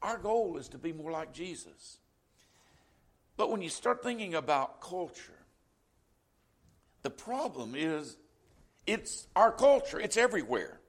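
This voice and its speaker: Male pastor, Southern US accent, middle-aged, slightly higher voice, folksy conversational sermon delivery. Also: sing-song, a little slow, pauses often. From Florida, US. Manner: conversational preaching with frequent "you know".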